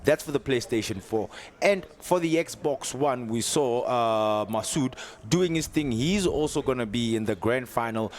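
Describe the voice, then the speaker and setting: A man's voice: mid-range voice, expressive, slight background noise. A man hosting a studio panel for an esports event, teasing the guests and wrapping up the day. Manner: jokey, quick asides.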